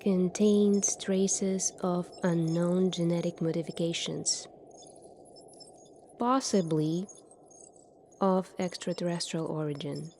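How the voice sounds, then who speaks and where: A woman plays a calm, secretive doctor of the future in an ASMR roleplay. Her voice is mellow and a little low, often hushed. She speaks very slowly and deliberately, over faint background noise.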